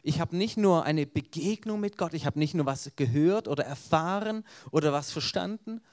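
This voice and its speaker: A man's voice: on the high side, moderate pace, a little mellow. Swabian-accented man preaching an evangelistic sermon. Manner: earnest, storytelling preacher.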